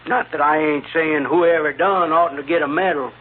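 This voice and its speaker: Actor as ornery, blustering Western gunman, higher-pitched. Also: steady tone, normal speed, natural voice. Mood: indignant. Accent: American West drawl. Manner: folksy, blustering, ungrammatical. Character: ornery, threatening, uneducated gunman of the frontier. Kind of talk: 1950s radio western acting. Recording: tinny, faintly noisy old radio.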